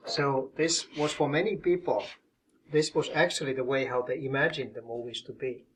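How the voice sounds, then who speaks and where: A man from Finland, a professor calmly explaining and demonstrating his collection in his office in an interview. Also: mid-range voice, normal speed, heavy background noise. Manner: calm, explanatory, demonstrating objects as he talks.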